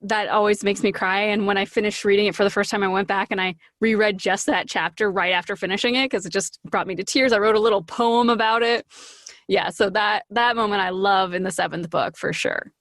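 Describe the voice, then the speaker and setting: A woman's voice: medium pitch, fairly even intonation, a little fast, a bit bright, dry recording, studio quality. An enthusiastic, bubbly woman chatting casually as an interview guest. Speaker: podcast guest, voice actor.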